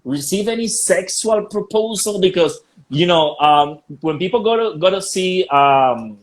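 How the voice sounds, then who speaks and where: A man's voice: sits high, lively intonation, a little slow. A man whose first language is Spanish, hosting a casual interview, laughing often and searching for his words. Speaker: interview host.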